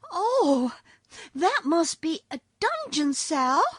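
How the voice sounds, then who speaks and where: A woman voicing a brave, caring little girl in a read-aloud storybook, high and eager. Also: wildly swooping pitch, slow pace, a bit bright, slightly rough, no echo, studio quality, full character voice. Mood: horrified.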